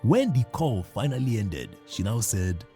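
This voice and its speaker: A man, hosting a podcast and telling a comic story in a playful, animated way, acting out the dialogue. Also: on the low side, unhurried, faint music behind. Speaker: podcast host.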